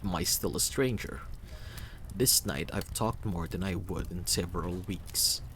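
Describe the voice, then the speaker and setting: A man voicing a reserved, muscular man near fifty who speaks in a dry, measured, slightly formal way for a story game. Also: low-pitched, a bit bright, slight background noise. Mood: dryly amused.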